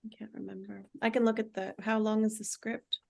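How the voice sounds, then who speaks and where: A woman's voice: medium pitch, little pitch movement, a little fast, creaky. A woman chatting casually in a group, musing in a tentative way.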